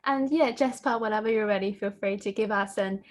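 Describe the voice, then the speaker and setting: A woman's voice: medium pitch, fairly quick. A woman moderating an online event, upbeat and friendly. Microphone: video call.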